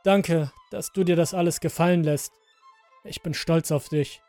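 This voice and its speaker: A man's voice: fairly high pitch, steady tone, a little slow, darkish tone, dry recording, soft background music. A man voicing a breezy, dismissive middle-aged father who talks in a casual, chummy, persuasive way. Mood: warm, apologetic.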